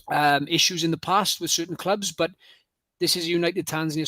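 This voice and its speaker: A man's voice: a little high, fairly bright tone, no room sound. A man with a Welsh accent leading a committee call, chatty and repeating words as he starts sentences. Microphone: online call.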